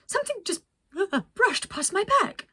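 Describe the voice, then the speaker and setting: A woman voicing a cheerful, excitable lady pilot in a children's story, gushing and gasping with theatrical delight. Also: extremely high pitch, a little slow, pitched above the performer's own voice, heavily acted. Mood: startled.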